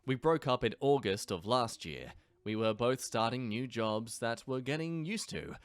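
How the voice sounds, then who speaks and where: A man's voice: medium pitch, animated, unhurried, smooth, no echo. A young man from England hosting a reaction channel, reading online stories aloud and adding sarcastic asides. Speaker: YouTube reaction host.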